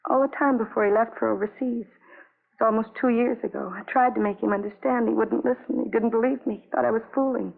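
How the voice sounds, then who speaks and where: An actress playing an anguished, lonely young wife in a 1950s radio drama, speaking in halting, repetitive fragments on a vintage broadcast recording. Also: female voice, a little high, fairly even intonation, creaky, narrow, tinny audio. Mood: desolate, pleading.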